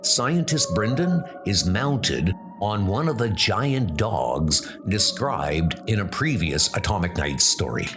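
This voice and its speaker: A man narrating a comic book in a dramatic, slow and emphatic way. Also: on the low side, sing-song, music in the background.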